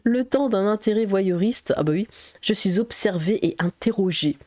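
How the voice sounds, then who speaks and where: A woman's voice: medium pitch, normal speed, a little mellow, no room sound. A woman from Alsace with a French accent, reading an article aloud as an online commentator and breaking in with sarcastic, exasperated asides. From Alsace, France.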